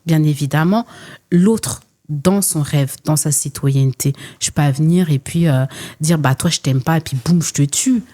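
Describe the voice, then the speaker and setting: A woman's voice: deep voice, darkish tone. A woman from France, speaking earnestly and emphatically as a guest in a conversational interview, often repeating phrases to build a point. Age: 40s. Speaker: podcast guest, entrepreneur and former social worker.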